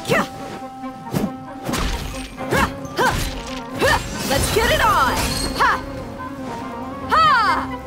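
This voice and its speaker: High-pitched, spirited fighter. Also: female voice, sing-song, extremely slow, pauses often, heavily acted. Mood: fierce, excited. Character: spirited female fighter shouting battle cries. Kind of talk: video game battle barks. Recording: loud music and combat crashes.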